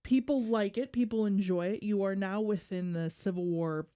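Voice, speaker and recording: A woman's voice: medium pitch, fairly even intonation, normal speed, dark tone. A woman chatting casually as a panelist on a podcast. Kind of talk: casual chat.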